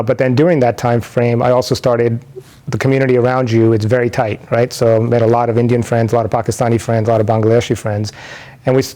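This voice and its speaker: A man's voice: mid-range voice, dark tone. A man in his forties from New York City, telling his life story in a thoughtful, rambling way, with many fillers and restarts. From New York City, United States. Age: 40s. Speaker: interviewee sharing his conversion story. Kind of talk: personal testimony, unscripted.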